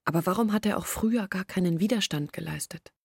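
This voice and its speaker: Low husky young woman, earnest and troubled, telling her story in a radio play, dry close sound. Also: fast, no room sound. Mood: earnest, weary. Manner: earnest, reflective storytelling in long sentences. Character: troubled young woman confessing her family's long hardship. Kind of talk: German literary radio drama acting, monologue.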